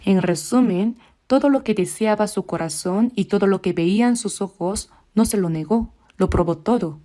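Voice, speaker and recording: A woman preaching a sermon in church, measured, slowing down for scripture readings. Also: medium pitch, dark tone.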